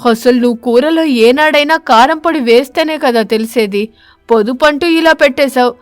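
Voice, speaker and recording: A woman voicing an exasperated, henpecked husband crow in an animated story, grumbling with sarcasm. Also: sits high, normal speed, dry recording, faint music behind, natural voice.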